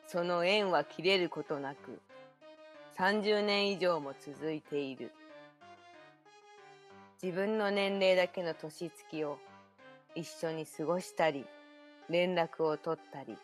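A woman acts out a mysterious female host of strange tales in a radio play. She narrates solemnly and with measured phrasing in a lowish voice, over music. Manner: slow, measured, solemn storyteller. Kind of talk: scripted radio drama narration.

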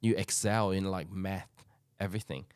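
A man's voice: deep voice, fairly even intonation, moderate pace, clean tone, dry recording, studio quality. A young man from Taiwan chatting on a podcast in a thoughtful, rambling way with lots of hedges. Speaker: podcast co-host. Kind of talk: casual chat.

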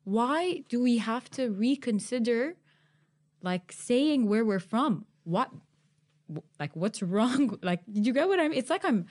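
A young woman hosting a podcast interview, animated and emphatic, often laughing as she talks. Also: fairly high pitch, measured pace. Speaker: podcast host. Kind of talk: conversational interview.

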